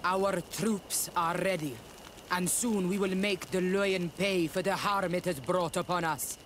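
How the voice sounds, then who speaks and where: High-pitched male voice, stern game rebel chief, blunt, resolute, faint ambience behind. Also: steady tone, a little slow, a bit bright, slight background noise. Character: proud, stern rebel camp leader, native islander warrior. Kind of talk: scripted video game cutscene dialogue.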